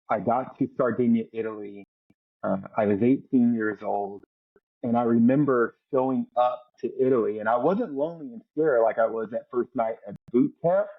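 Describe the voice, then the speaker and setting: A man's voice: medium pitch, a little mellow, slightly rough, lo-fi sound. A man in his forties, a former Navy SEAL, earnestly telling his life story as a podcast guest, often repeating words as he goes. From United States. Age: 40s. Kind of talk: storytelling interview.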